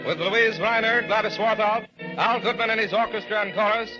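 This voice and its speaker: A man's voice: high-pitched, fairly even intonation, brisk, muffled recording. A man announcing a 1940s radio show in a projected, declamatory style on an old transcription recording. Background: loud orchestral music.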